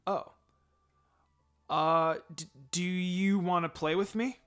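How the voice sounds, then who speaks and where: A man voicing a quiet, agreeable young boy in a fan-fiction story reading. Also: high-pitched, fairly even intonation, slow, smooth, soft background music. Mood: reserved.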